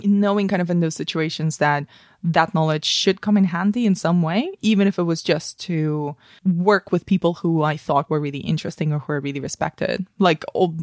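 A woman's voice: low-pitched, no room sound, clean studio sound. A woman in her thirties from Spain, a guest telling her career story in a podcast interview, thoughtful with many "kind of" fillers and restarts. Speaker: guest, film industry professional.

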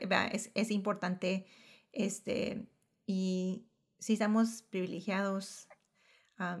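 A woman's voice: medium pitch, fairly bright tone. Tired woman hunting for words. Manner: searches for words, switches to English. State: tired at day's end. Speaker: podcast host, dental hygienist. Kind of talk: casual chat.

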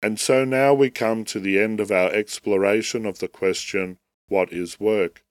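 A man with a fairly low voice, made raspy and nasal by the tail end of a head cold. He hosts a podcast and reads a prepared essay on theology in a slow, formal, deliberate way. The recording is close and dry, with no room sound. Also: steady tone, no echo. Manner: measured, formal, deliberate delivery. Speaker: podcast host.

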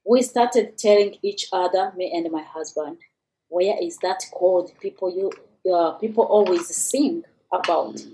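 A woman with a Rwandan accent telling a personal story for radio, with dramatic pauses and rhetorical questions. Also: fairly low pitch, dark tone, low-quality recording.

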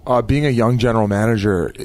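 A man's voice: on the low side, fast talker, darkish tone. A man on a sports podcast panel, putting questions to a guest in casual conversation.

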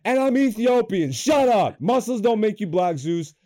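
A young man hosting a comedy podcast in a studio, chatting loosely with lots of swearing, swinging between loud hype and low mumbles. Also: lively intonation, moderate pace, no echo. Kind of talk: casual podcast chat.

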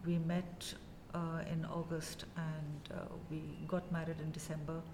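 A woman in her fifties from India with an Indian English accent, telling her story as a guest in a casual interview, measured and full of pauses. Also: low voice, flat delivery, in a large hall, noisy background. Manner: measured, many pauses and "uh" fillers.

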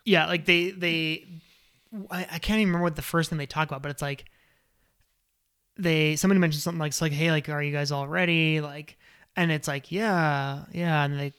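Give me a bright, sharp-toned man in his thirties, playful and relaxed, recapping a reality show with a friend. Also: fairly high pitch, a little fast, clean tone. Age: 30s. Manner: easygoing, laughs often, playful. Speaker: podcast co-host. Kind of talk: casual reality-TV recap chat.

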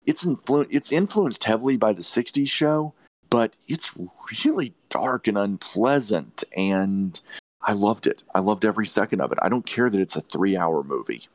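A low-pitched man speaks as a podcast host in a close, dry recording. He shares opinionated takes on films, leaning on repetition and emphasis to drive his points home. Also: very animated, moderate pace, no echo. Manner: emphatic, repeats phrases for stress. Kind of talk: opinionated movie review chat.

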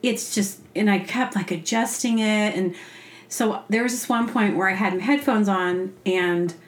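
A woman's voice: mid-range voice, a little slow. Woman telling casual stories in an echoey room. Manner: animated, acting out her own reactions and asides; rambling, self-deprecating humor. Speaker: podcast host. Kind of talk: casual comedic storytelling. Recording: roomy, echoey sound.

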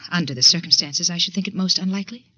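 A woman's voice: on the low side, quick pace, bright, forceful tone, husky, no echo. An actress with an American accent playing a prim, resentful secretary in a 1940s radio suspense drama, formal and precise in her speech. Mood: primly warning. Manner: formal, precise, icily polite. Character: prim, resentful female secretary, coolly insinuating. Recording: dull, dry 1940s radio recording.

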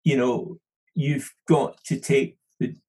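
A man's voice: mid-range voice, level tone, slow pace, dark tone, slightly rough. A middle-aged man with a Scottish accent, a podcast guest chatting casually and rambling with many restarts. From London. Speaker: podcast guest, studio manager. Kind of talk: casual interview chat.

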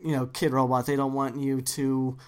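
A woman's voice: rumbling low, little pitch movement, moderate pace, a little mellow. A woman hosting a casual interview, enthusiastic and rambling with many fillers.

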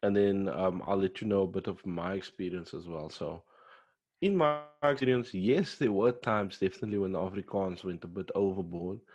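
A young man chats on a podcast with a low-pitched voice. He sounds laid-back and slangy, often doubling his words as he gathers his thoughts. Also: normal speed, darkish tone, lo-fi sound. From South Africa. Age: young adult. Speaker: podcast co-host. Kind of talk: casual chat.

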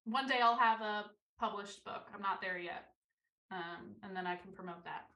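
A woman from Maryland, a writer and teacher, chatting as a guest with a low voice, some vocal fry and many fillers. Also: moderate pace, sharp tone, highs cut off. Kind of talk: casual interview chat. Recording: roomy, somewhat far from mic.

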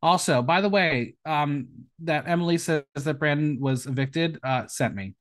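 A man's voice: fairly high pitch, moderate pace. A man hosting a casual recap show, narrating events in a playful, theatrical way and tossing out questions. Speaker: podcast host. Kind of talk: casual episode recap commentary with rhetorical questions.

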